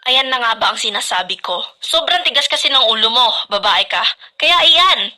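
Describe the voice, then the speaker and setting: A woman's voice: a little high, expressive, moderate pace, pushed and bright. A woman playing a stern, grumpy middle-aged mother in an amateur radio drama, with a Filipino accent. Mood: scolding. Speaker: student radio drama actor.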